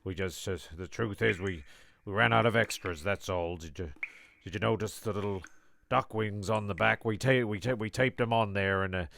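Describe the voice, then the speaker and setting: Low, creaky, stammering man acting a flustered director. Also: normal speed, fairly bright tone. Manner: hesitant, stammering, earnest. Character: flustered, defensive director of a shambolic amateur production. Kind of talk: comic audio drama.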